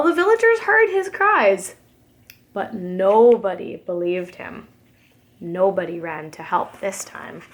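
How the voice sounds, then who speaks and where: A woman preschool teacher reading a storybook aloud expressively for young children. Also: mid-range voice. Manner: expressive, addresses young listeners.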